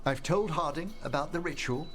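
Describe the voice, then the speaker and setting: An actor playing a courteous, genteel middle-aged necromancer in a fantasy video game, speaking formally and earnestly. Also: male voice, on the high side, moderate pace, a bit bright, faint music behind, close to an everyday voice.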